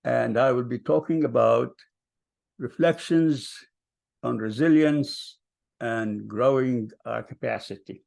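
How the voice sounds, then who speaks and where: An older man from Palestine, telling his family story at a vigil in a soft, hesitant way with many ums. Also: mid-range voice, takes it slowly, vocal fry. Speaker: vigil speaker. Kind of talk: personal storytelling.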